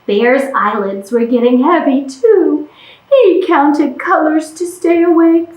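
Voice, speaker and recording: A woman reading a picture book aloud to children as a storytime host, with expressive, deliberate delivery. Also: sits high. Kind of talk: animated children's read-aloud. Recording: echoey room.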